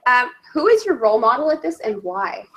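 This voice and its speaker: A woman's voice: fairly high pitch, sing-song, a little fast, fairly bright tone. A woman who is a native Spanish speaker, hosting a casual interview in an informal, agreeable way. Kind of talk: casual online interview chat.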